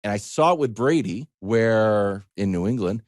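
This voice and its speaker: A man's voice: on the low side, expressive, moderate pace, darkish tone, no room sound, clean studio sound. A man talking casually as a sports show host, telling anecdotes and giving opinions in an emphatic, conversational storytelling manner.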